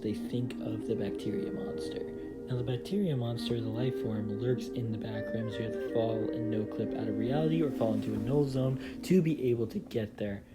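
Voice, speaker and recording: A young man hosting a casual countdown commentary, emphatic and repeating words for stress. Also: medium pitch. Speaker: video host. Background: loud music with guitar.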